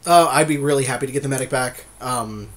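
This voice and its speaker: A man's voice: mid-range voice, fast talker, a bit bright. A man co-hosting a podcast, chatting in a laid-back, agreeable way about card picks. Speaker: podcast co-host. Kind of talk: casual card-drafting chat.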